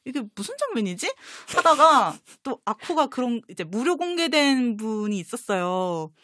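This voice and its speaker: A woman with a fairly high voice, recorded close and dry. She talks as a guest in a casual fan chat, effusive and gushing as she tells her stories. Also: big pitch swings, moderate pace, a bit bright, no room sound. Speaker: talk show guest, comics publisher designer and voice-drama fan.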